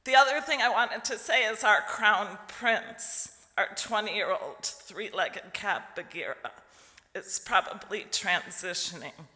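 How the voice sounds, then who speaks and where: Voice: mid-range voice, slow pace, pushed and bright. A congregant from Lithuania sharing at a church service, heartfelt and often chuckling. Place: church sanctuary. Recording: long church reverb.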